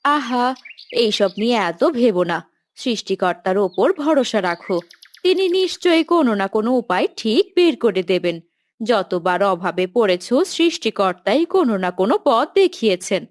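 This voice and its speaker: A woman's voice: fairly high pitch, sing-song, normal speed. A woman playing a practical, admonishing village wife and mother in a cartoon family drama. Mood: reassuring.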